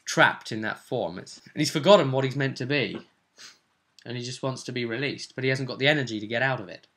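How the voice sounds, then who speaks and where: A man's voice: mid-range voice, a little fast, a bit bright. A man giving a casual, rambling recap of a tabletop role-playing session as the game master.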